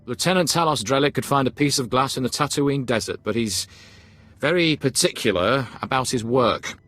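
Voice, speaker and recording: An actor playing a cautious, deferential imperial officer in a video game, formal and obsequious. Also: male voice, medium pitch, expressive, normal speed, a bit bright, no echo. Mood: deferential, wary. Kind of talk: game voice acting.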